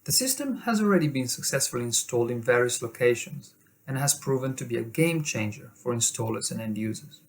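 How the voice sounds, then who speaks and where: A man's voice: mid-range voice, animated, brisk, slight background noise. A man reading a scripted corporate product presentation as a company presenter.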